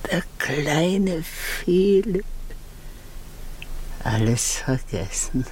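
Very slow old serving woman. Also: deep voice, expressive, darkish tone, full character voice. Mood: dreamy musing. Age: older. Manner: slow, halting, ruminative delivery. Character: old devoted female servant and nurse, musing, blunt. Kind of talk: 1950s German radio play acting. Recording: noisy 1950s radio recording.

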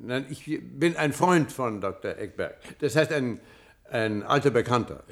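An uneasy professor in a German radio play. His voice sits at a medium male pitch. He speaks hesitantly and guardedly, as someone reluctant to be there. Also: lively intonation, unhurried, vocal fry. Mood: flustered, hesitant.

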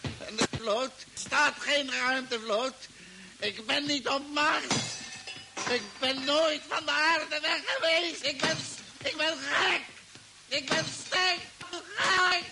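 Frantic, high, gravelly space traveller. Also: male voice, fairly even intonation, takes it slowly, full character voice. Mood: despairing. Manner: insistent, repeating, frantic. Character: agitated, paranoid, confused stranded space traveller. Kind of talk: old radio play acting. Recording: old radio recording with faint noise.

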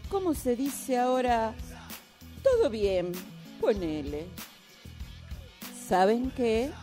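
A woman's voice: high voice, a little mellow, exaggerated voice. A woman playing a sly, gleeful older Argentine mother in a radio drama, with a theatrical, mock-sweet manner. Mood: wry reproach. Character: sly, gleeful older mother reading her mocking farewell letter.

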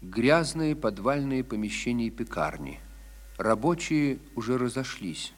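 A man delivering scripted documentary narration in an expressive, storytelling way. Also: medium pitch, a little slow.

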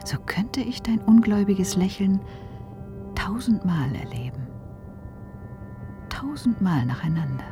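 A woman playing a wistful, mysterious figure in a poetic radio drama monologue, whispery, slow and dreamy. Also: medium pitch, lively intonation, lightly acted. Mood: wistful, musing. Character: wistful, mysterious woman musing on time and love. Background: music.

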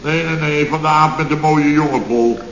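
An actor playing an exasperated, wisecracking boss of a building firm in a radio comedy, talking sardonically and repeating words in emphatic bursts. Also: male voice, fairly high pitch, fairly even intonation, normal speed, a bit bright. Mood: dry sarcasm. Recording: roomy, dull, hissy old radio recording.

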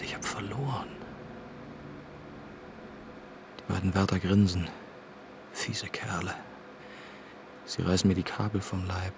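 Radio play interior monologue: troubled prisoner, low and croaky, hushed, brooding. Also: male voice, noisy background. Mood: defeated. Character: troubled male prisoner voicing his inner thoughts.

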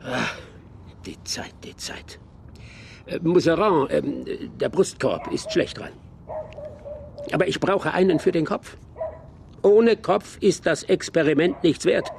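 A man playing an obsessive, excitable scientist, a pompous and halting professor in a German radio crime drama. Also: a little high, heavily acted. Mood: impatient, obsessive. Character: obsessive, excitable professor running transplant experiment. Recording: clear background noise.

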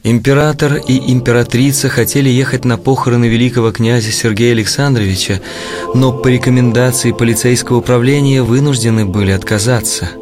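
A man's voice: medium pitch, fairly even intonation, brisk. Solemn male narrator over music. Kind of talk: solemn scripted narration of an audio play. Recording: clear music bed under narration.

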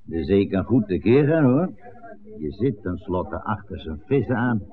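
A man's voice: fairly low pitch, very animated, measured pace, phone-quality sound. A man playing a blunt, matter-of-fact village pub regular in an old radio drama. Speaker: radio play actor. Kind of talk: 1960s radio drama acting. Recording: thin, noisy old radio recording.

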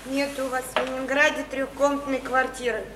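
Earnest high-voiced woman, far off actress acting. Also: little pitch movement, normal speed, lightly acted. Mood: accusing. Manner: insistent, accusing. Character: earnest, reproachful woman confronting a liar. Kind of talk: theatrical Russian radio play acting. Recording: echoey, distant radio play with noise.

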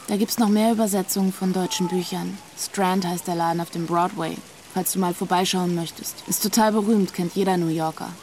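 A woman's voice: on the low side, steady tone, a little fast, hardly any pauses, background noise, close to an everyday voice. An actress playing a disillusioned young woman in a radio drama, speaking in a frank, matter-of-fact, naturalistic way. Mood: casual. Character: disillusioned young woman from Leipzig, direct, searching.

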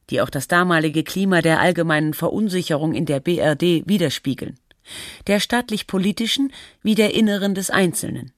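An actress playing a sober, analytical documentary narrator, reading scripted text in a formal, measured manner. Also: female voice, fairly low pitch, no room sound, clean studio sound, close to an everyday voice.

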